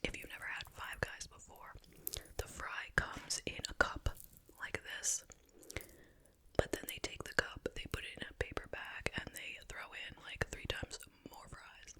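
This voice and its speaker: A woman hosting ASMR, chatting to viewers in a soft, unhurried, conversational whisper. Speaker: ASMR YouTuber, host. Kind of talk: whispered ASMR mukbang chit chat.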